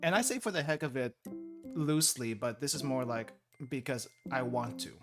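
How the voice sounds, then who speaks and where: A man's voice: mid-range voice, expressive, moderate pace. A young man from the Philippines, chatting casually and thinking aloud while he studies on a stream, laughing often. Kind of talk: casual study stream chat. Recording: music playing behind.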